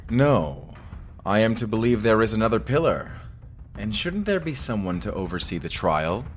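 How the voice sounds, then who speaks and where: A man voicing a stern, protective human leader in a fantasy audio drama, formal and measured. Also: fairly low pitch, sing-song, a little hiss or hum. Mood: questioning.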